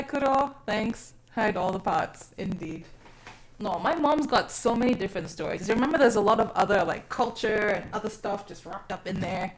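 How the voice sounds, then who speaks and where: A woman from South Africa who grew up mostly abroad talks to her live stream viewers. Her delivery is casual and expressive, with an informal, sweary way of putting things. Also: medium pitch, rough recording. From born in South Africa, grew up mainly overseas from age six. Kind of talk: casual chat.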